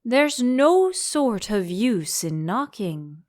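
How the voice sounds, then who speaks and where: A woman voicing a solemn, obtuse frog footman in a storybook, slow and literal-minded in his stubborn indifference. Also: on the high side, very animated, no room sound, clean studio sound, exaggerated voice. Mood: matter-of-fact.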